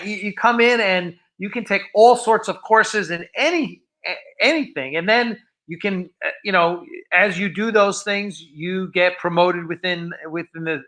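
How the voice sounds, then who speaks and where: A man's voice: sits high, pushed and bright. A man hosting a casual livestream, chatting in a jokey, talkative way. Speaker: livestream host. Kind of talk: casual live chat.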